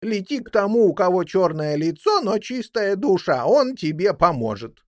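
A woman playing a weary, courteous imprisoned man in a children's fairy tale, speaking in a measured, earnest way. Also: mid-range voice, sing-song, darkish tone, no room sound, studio quality, voice pushed up, close to an everyday voice. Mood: urgent, affectionate.

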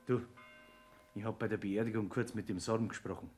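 An actor playing a practical, confiding employee in a radio play. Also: male voice, lowish voice, steady tone, a little fast, darkish tone, faint music behind, lightly acted. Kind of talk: 1960s German radio play acting. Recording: roomy, echoing radio studio sound.

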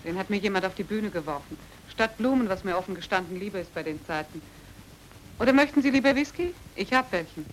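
An actress playing a grieving, resigned young stage actress in an old German radio drama, understated, guarded and fatalistic. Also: female voice, mid-range voice, expressive, fast, slight background noise, close to an everyday voice, low-quality recording. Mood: polite, guarded. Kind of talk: 1950s-60s German radio drama acting. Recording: old radio play with music behind.

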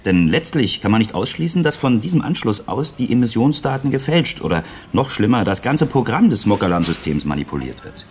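An actor playing a roguish, clever computer technician in a radio play, talking in a wry, teasing, chatty way. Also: male voice, fairly low pitch, big pitch swings, brisk, few pauses. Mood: wry, explaining. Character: roguish, clever male computer-centre technician, playful tinkerer.

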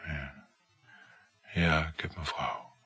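A man's voice: very deep voice, fairly even intonation, slow pace, sharp tone, breathy edge, exaggerated voice. An actor playing a needy, wry, jealous married lover in his thirties in a Dutch radio drama, with dry, hesitant replies. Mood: jealous, resigned. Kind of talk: Dutch radio drama acting, intimate dialogue.

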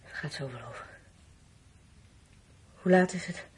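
An actress playing a frail, dazed, ailing woman with a husky, breathy voice in a radio drama. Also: low-pitched, a little slow, full character voice. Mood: weak, uneasy.

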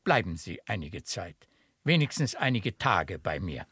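Effusive baron with swooping intonation. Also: male voice, sits low, very animated, unhurried, fairly bright tone, slightly rough, no room sound, clean studio sound, full character voice. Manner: courtly, exclamatory, formal older German. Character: effusive, grateful baron and doting, bossy father. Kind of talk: radio play acting.

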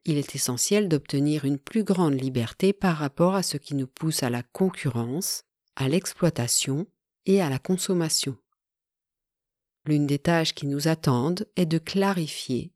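A woman narrating a nonfiction audiobook in a measured, even reading style. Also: low voice, no echo, studio quality.

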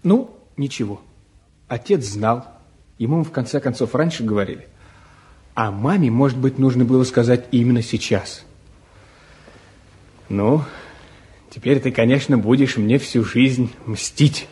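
An actor playing an earnest, quietly firm young newlywed son in a 1950s Soviet radio drama, matter-of-fact and soft-spoken. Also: male voice, mid-range voice, unhurried, pauses often, breathy edge. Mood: reflective, tender. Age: young adult.

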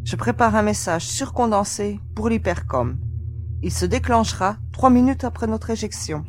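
A woman playing a cautious, cool-headed young secret agent in a science-fiction audio drama, explaining in a measured way. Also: medium pitch, darkish tone, lightly acted. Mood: businesslike.

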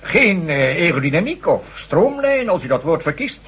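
An actor playing a hesitant scientist who stammers while explaining results in a radio drama. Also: male voice, on the high side, very animated, normal speed, slightly rough, heavily acted. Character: Professor Jackson, hesitant acoustics scientist. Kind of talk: 1970s radio drama acting. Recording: dull lo-fi radio recording.

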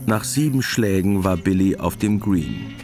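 A man's voice: low voice, unhurried. A man narrating a scripted radio drama as its storyteller. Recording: music behind.

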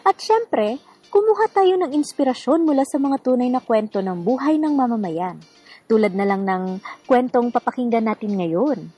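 High-voiced woman, slightly husky radio narrator. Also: lively intonation, normal speed, dark tone, faint music behind. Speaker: host and narrator of a radio drama series. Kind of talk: scripted radio drama narration.

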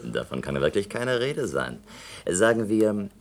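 Sly gravelly male crook, lively intonation, hard bargainer, vintage radio drama. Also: lowish voice, unhurried, crowd noise. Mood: mocking. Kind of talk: 1960s German radio drama acting.

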